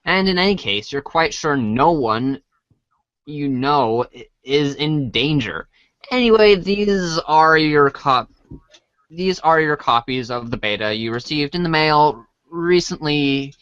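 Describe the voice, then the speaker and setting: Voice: medium pitch, lively intonation, slow, fairly bright tone, smooth, no echo, highs cut off. A person reading a comic script aloud as storybook narration, sometimes stumbling over lines and apologizing. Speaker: reader of a read-along storybook show.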